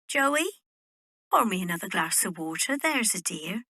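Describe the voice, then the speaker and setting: Woman doing worried kindly mum in a costume drama, raspy lively voice, questions everything gently. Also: mid-range voice, moderate pace, no echo, studio quality, exaggerated voice. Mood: doubtful, fond. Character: doubtful, fond mother of a large genteel-poor family. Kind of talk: period literary drama acting.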